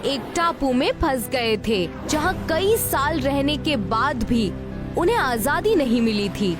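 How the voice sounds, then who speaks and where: A woman's voice: high voice, sing-song, takes it slowly, over music. A woman narrating a scripted story in a storytelling manner.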